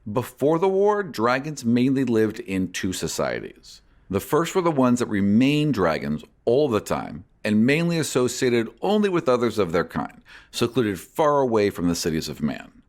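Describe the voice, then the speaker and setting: A man hosting a podcast, reading scripted fantasy lore and answers in a measured storyteller's manner. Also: fairly low pitch. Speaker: podcast host and game master. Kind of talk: scripted narration answering listener questions.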